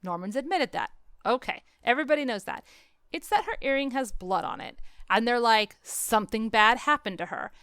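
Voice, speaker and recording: A woman's voice: on the high side, expressive, normal speed, a bit bright, smooth, no echo, studio quality. A woman chatting casually and opinionatedly about film, emphatic and exasperated, as a podcast co-host. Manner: emphatic, exasperated ranting with acted-out quotes.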